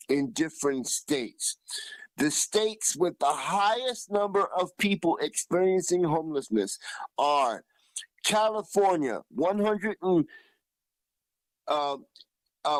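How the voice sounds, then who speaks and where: A man co-hosting a news show, reporting in a conversational, halting and deliberate way, repeating himself as he reads out figures. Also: a little high, dry recording, rough recording. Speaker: radio news co-host.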